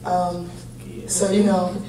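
A woman in her thirties, a head basketball coach, answering reporters at a post-game press conference in a steady, plain-spoken, upbeat way, picked up by a table mic from across a large room. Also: on the low side, slow pace, a crowd in the background. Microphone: table mic, heard from a distant camera. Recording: echoey room, distant mic, faint hum.

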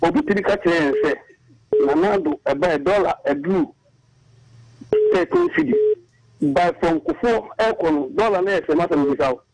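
A man from Ghana calling in to a radio show, arguing his point and rushing through figures. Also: high voice, lively intonation, darkish tone. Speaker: caller. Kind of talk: phoned-in political opinion. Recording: phone line on air.

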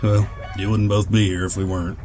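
An actor playing a weary, bitter old aviator turned farmer in an audio drama, folksy and brooding. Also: male voice, on the low side, little pitch movement, a little fast, a little mellow, a little hiss or hum, natural voice. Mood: gruff, resigned. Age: older. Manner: folksy, plain-spoken, brooding.

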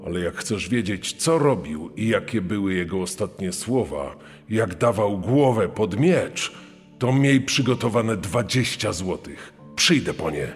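An actor playing a threatening bandit voicing an extortion note in a dramatised true-crime reading. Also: male voice, on the low side, lively intonation, measured pace. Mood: menacing. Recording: long reverb over music.

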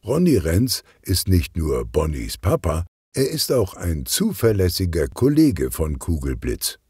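A man's voice: sits low, huge pitch swings, a little slow, mellow, slightly rough, no echo, clean studio sound. A man narrating a children's detective story from a script. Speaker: audiobook narrator.

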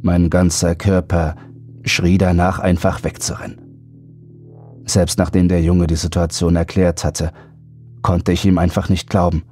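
A man's voice: deep voice, level tone, unhurried, darkish tone, slightly rough, faint music behind. A man narrating a horror audiobook in a scripted, dramatic first-person storytelling style.

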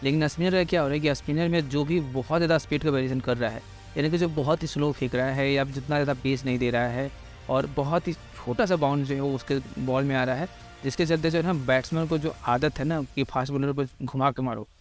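A man's voice: mid-range voice, fairly even intonation, fast talker, soft background music. An Indian man presenting informal sports analysis, explaining things repetitively and addressing listeners directly. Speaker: cricket pitch report presenter.

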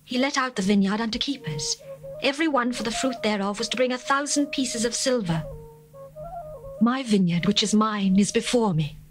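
A woman voicing a young bride who longs for her beloved, in an earnest, measured dramatized scripture reading. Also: on the high side, close to an everyday voice. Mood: longing. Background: faint music.

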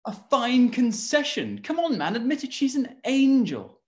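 Light-voiced, eager young gentleman man acting. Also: very high voice, sing-song, measured pace, full character voice. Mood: earnest, cheerful. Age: young adult. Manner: enthusiastic, earnest. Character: amiable, eager, good-natured young Regency gentleman. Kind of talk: period drama read-through. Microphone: video call, close framing.

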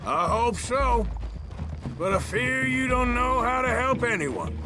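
Slow-drawling, menacing American outlaw enforcer. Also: male voice, very high voice, big pitch swings, over music, full character voice, poor audio. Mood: reproachful. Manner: slow drawl, dry threats. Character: gruff, wry, menacing male outlaw gang enforcer. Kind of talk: cinematic video game acting.

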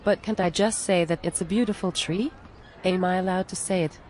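An exasperated, down-to-earth cartoon friend, played by a woman, speaking plainly and conversationally. Her voice is a bit on the low side, with faint outdoor noise behind it. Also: fairly even intonation, fairly quick, darkish tone, lightly acted. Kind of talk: scripted animated dialogue.

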